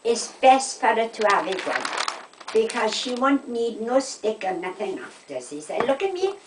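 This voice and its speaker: A woman's voice: fairly high pitch, sing-song, normal speed, background noise. A woman in her eighties with a South Wales Valleys accent, chatting and telling anecdotes emphatically, acting out what people said. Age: 80s. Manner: chatty, emphatic, repeats reported conversations with "I said". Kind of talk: casual chat, anecdotal storytelling. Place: indoors at a home. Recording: roomy, distant home recording.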